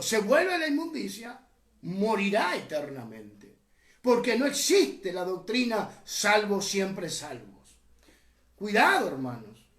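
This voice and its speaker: A man's voice: high voice, fairly bright tone, echoey room. A man from Uruguay preaching a teaching sermon, impassioned, rising and dropping sharply and often calling the listeners brothers. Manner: impassioned, rises and drops sharply, often says "hermanos".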